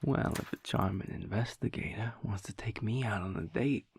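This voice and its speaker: Man playing a husky, whispery, flirty werewolf. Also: normal speed, no room sound. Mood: flirty, teasing. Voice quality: husky, breathy low murmur. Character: flirty, feisty male werewolf, tender and earnest underneath. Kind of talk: intimate romantic audio roleplay.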